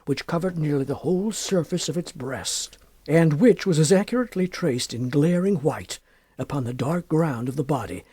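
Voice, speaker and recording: A man narrating a classic horror story as an audiobook, in a formal, measured, dramatic literary style with deliberate phrasing. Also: fairly high pitch, animated, dark tone, husky, no room sound.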